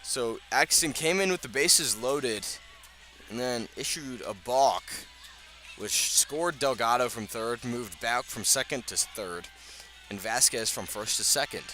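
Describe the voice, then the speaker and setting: A man doing live radio baseball play-by-play, speaking in measured short phrases with pauses between them. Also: medium pitch, sharp tone, faint music behind. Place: outdoors at a ballpark.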